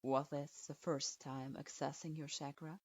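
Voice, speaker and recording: A woman voicing a calm, formal adult man with a detached, measured way of speaking, in a storybook reading. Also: low voice, voice pushed down. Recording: dull lo-fi recording.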